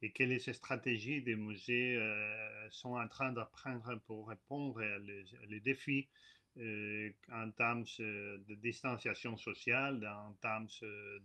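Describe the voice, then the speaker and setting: A man, not a French speaker, giving an online conference lecture in a halting, hesitant way with many filler sounds. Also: fairly low pitch, steady tone, pushed and bright. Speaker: guest lecturer. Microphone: computer mic on a video call.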